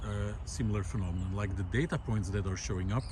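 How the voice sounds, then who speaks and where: A man, musing aloud in a walk-and-talk video. He talks reflectively and often pauses with "uh". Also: on the low side, dark tone, a little hiss or hum. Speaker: video essayist. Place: outdoor park lawn. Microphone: handheld camera while walking.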